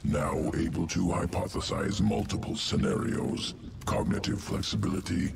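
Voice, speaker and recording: A man's voice: normal speed, roomy sound, distant mic, a little hiss or hum. A man voicing a clinical alien evolution-master creature in a video game, speaking in clipped phrases that drop small words. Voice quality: deep gravelly clipped speech, drops small words. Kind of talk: video game cutscene voice acting.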